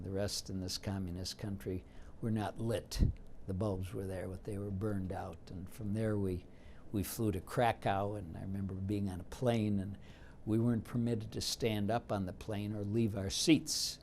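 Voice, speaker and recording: A man in his seventies from Detroit, whose first language was Yiddish, recalling his past in an unhurried oral history interview with frequent fillers. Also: lowish voice, dark tone, a little hiss or hum. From Detroit, United States. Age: 70s.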